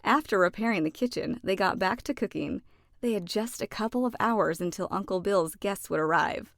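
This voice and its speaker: A woman's voice: mid-range voice, moderate pace, creaky, no room sound. Woman reading a storybook, dry close sound. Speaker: children's book read-aloud narrator. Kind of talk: expressive storybook narration.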